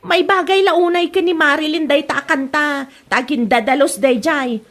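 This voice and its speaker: A woman's voice: sits high, moderate pace, a bit bright. A woman playing a feisty, spiteful grown daughter who defiantly feuds with a neighbour in a comedy audio drama.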